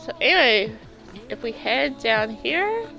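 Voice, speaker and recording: A woman's voice: a little high, sing-song, measured pace, creaky, bright, forceful tone, lo-fi sound, soft background music. A woman with a sore throat, hosting a let's play in chatty, rambling, casual gameplay commentary.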